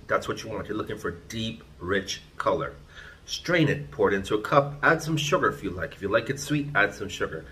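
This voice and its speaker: A man talks straight into a phone held at arm's length in a small room. His voice sits a little low, and he lectures emphatically, repeating his points. It comes across as an opinionated vlog monologue. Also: fairly bright tone. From Trinidad and Tobago. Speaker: vlogger, channel host. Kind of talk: ranting vlog monologue to camera. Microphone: phone camera at arm's length.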